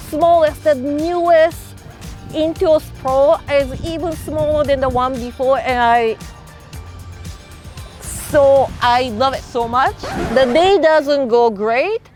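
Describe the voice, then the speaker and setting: A middle-aged woman with a Japanese accent talks about her work in an unhurried, reflective way, filling pauses with casual fillers. Her voice sits a little high for a woman, and soft music plays underneath. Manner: relaxed, thoughtful, frequent fillers like "you know" and "like". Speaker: freelance illustrator. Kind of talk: interview-style talk to camera.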